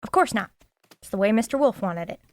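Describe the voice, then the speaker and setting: A woman's voice: mid-range voice, animated, a little fast, darkish tone, close to an everyday voice. A woman playing a nosy, loyal small-town sidekick in an audio drama, with a frank, folksy and eager manner. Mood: eager, insistent. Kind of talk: audio drama dialogue acting.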